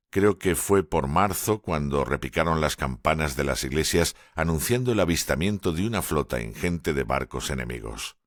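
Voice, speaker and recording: Guy reading a calm navy lieutenant, middle-aged, super deep voice, steady and grave. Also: dry recording, studio quality. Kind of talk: audiobook character dialogue.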